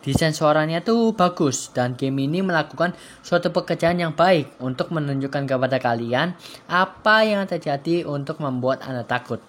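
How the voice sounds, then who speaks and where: A man's voice: on the high side, normal speed. A man with an Indonesian accent hosting a video in an informal commentary style. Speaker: host of a horror recommendation channel. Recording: clear background noise and ambience.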